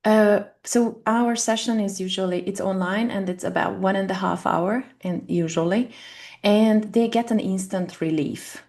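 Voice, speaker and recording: A woman's voice: mid-range voice, a little slow, vocal fry, darkish tone. A woman in her forties from Hungary with a Hungarian accent, earnestly explaining her coaching work in an online interview, with small grammar slips of a non-native speaker. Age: 40s. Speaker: guest, family constellation coach. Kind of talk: interview answers. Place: home in Texas, online call.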